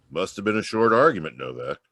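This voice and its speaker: An actor playing a smug, needling homicide inspector in 1940s radio crime drama style, taunting and sardonic. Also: male voice, sits low, sharp tone, no room sound, heavily acted.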